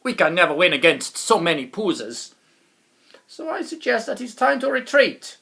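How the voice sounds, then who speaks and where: Storybook general, male, loud and pompous, commanding and full of bluster, with big pitch swings played by a woman. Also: big pitch swings, moderate pace, creaky, full character voice. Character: bellowing, glory-hungry man, a blustering army general. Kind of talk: storybook character reading in rhyme.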